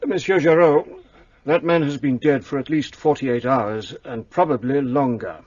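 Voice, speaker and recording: An actor playing a matter-of-fact doctor in a radio play, speaking in a firm, correcting way. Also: male voice, medium pitch, moderate pace, darkish tone, no room sound, highs cut off, lightly acted.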